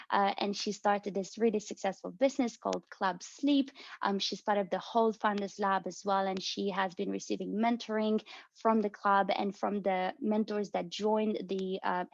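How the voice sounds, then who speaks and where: A woman who works as a corporate relations manager, giving a steady presentation over a video call with frequent filler sounds. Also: mid-range voice, vocal fry, no room sound. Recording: dull lo-fi dry video call audio.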